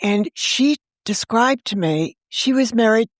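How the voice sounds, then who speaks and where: A woman's voice: fairly high pitch, animated, measured pace, no room sound, clean studio sound. Fond, reflective woman telling a story. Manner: warm, fond, gently reflective. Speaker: guest, novelist and podcast creator. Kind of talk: conversational storytelling.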